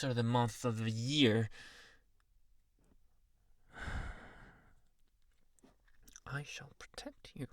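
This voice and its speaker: A man playing a jealous, obsessively loving anime boyfriend in an ASMR roleplay, breathy and often whispering, slow and menacingly tender, close to the microphone. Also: mid-range voice, no echo, lightly acted. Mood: soothing, possessive. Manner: slow, intimate, menacingly tender, lingering on words. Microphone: very close, dry ASMR microphone.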